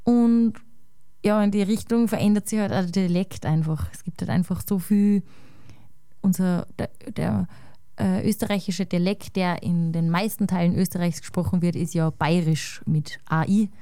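A woman with a Salzburg Austrian German accent talks in a relaxed, chatty way, circling her thoughts with fillers and tag questions. Her voice is smooth and resonant with a dark, warm tone, recorded close and dry. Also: fairly low pitch, normal speed, no room sound. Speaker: guest musician. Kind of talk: casual conversation.

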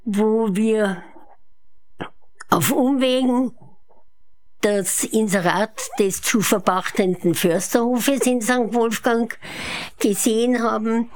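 An elderly woman in her eighties speaks with a Viennese Austrian accent. She recounts her own life as an interview guest, taking her time with a slow, measured pace. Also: on the high side. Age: 80s. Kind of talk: unhurried autobiographical storytelling. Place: her apartment living room.

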